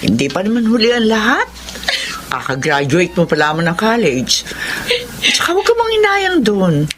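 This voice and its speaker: A woman's voice: medium pitch, big pitch swings, normal speed, a bit bright. An actress playing a caring, encouraging middle-aged mother in a Filipino radio drama, emphatic and motherly, with a Filipino accent. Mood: consoling. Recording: noisy track with background ambience.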